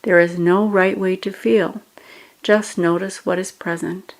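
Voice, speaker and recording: A woman guiding a meditation, giving measured instructions in short phrases with pauses between them. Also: fairly low pitch, steady tone, low-quality recording. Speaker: meditation guide. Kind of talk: guided meditation narration.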